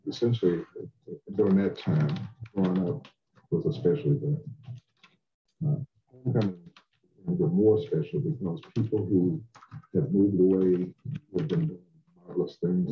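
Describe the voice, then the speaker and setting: An older man with a very deep voice speaks with quiet pride about his family's ties to the campus. The sound is roomy and a little dull, with faint hiss behind him. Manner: proud, reflective storytelling. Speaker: alumnus. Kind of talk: documentary interview.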